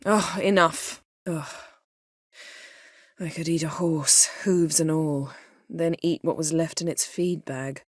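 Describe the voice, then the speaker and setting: An actress playing a proud, sharp-tongued, guarded sorceress in a video game, speaking in a cool, clipped, dryly sardonic way. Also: female voice, deep voice, steady tone, takes it slowly, dry recording, clean studio sound. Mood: dry, weary. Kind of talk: scripted game dialogue acting.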